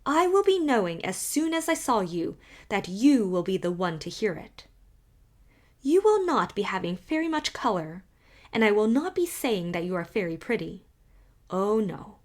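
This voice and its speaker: A woman voicing a stately, proud old widow with a Highland accent in a rich, powerful voice and lilting, formal phrasing. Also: big pitch swings, normal speed, lightly acted. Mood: regal, insistent. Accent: Scottish Highland. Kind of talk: audiobook character reading.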